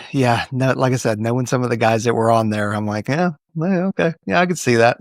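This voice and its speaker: A man hosting a casual interview podcast, talking in a relaxed conversational style. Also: medium pitch, normal speed, no echo, studio quality. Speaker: podcast host.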